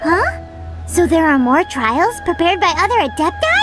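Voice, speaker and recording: Squeaky excitable fairy girl. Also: moderate pace. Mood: chirpy. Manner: excitable, nosy, high squeaky voice. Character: tiny chirpy floating fairy companion, girl. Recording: game audio with music behind.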